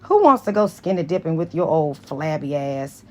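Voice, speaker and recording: A woman voicing a sassy, sharp-tongued young female school nurse in an audiobook, animated and slangy. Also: low-pitched, moderate pace, a little hiss or hum. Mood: snappy, scornful. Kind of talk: dramatized audiobook dialogue.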